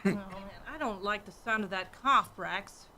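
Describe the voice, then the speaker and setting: A woman playing an anxious, curious space commander in a sci-fi radio play, excitable and questioning. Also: medium pitch, moderate pace, slight background noise. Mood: worried.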